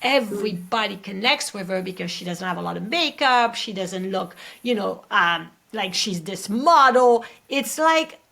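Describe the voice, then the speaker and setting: A woman's voice: mid-range voice, lively intonation, normal speed, gravelly, hardly any pauses, a bit bright. A woman in her late thirties with a French accent, speaking as a guest acupuncturist and educator in a casual interview, earnest and emphatic, often closing points with a tag question. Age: late 30s.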